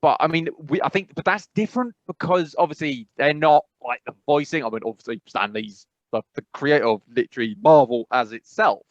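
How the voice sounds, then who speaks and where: A man's voice: on the high side, brisk, hardly any pauses, dry recording. Muffled dry recording, man, casual chat, rambling, podcast co-host.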